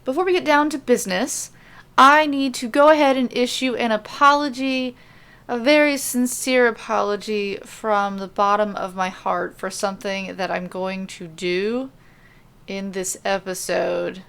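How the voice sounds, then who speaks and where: A woman's voice: mid-range voice, fairly even intonation, a little slow, a bit bright, smooth. A woman hosting a storytelling podcast, speaking in a self-deprecating, dryly humorous way. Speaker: podcast host and writer. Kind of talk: casual rambling podcast intro, then audiobook-style narration.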